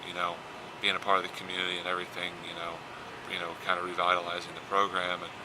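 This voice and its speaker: A young American man with a low, very monotone voice gives casual interview answers. He talks quickly, doubling back on words, with clear gym noise in the background. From Indiana. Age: 20s. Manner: repeats words, frequent "you know". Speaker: college basketball player. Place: basketball fieldhouse.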